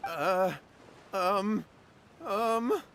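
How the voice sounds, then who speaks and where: An actor voicing a nervous, evasive young villager in a video game, stammering and flustered. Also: male voice, high voice, very slow, fairly bright tone, a little hiss or hum, heavily acted.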